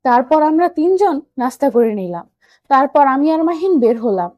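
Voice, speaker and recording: A young woman reads a romantic story in a high voice. The recording is dry and close, and she tells it in the first person as an audio story narrator. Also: animated, normal speed, no echo, muffled recording.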